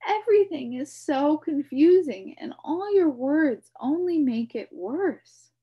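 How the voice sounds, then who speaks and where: A woman's voice: high voice, huge pitch swings, a little slow, highs cut off, voice pushed up, heavily acted. A woman voicing a polite, bewildered young boy who speaks earnestly.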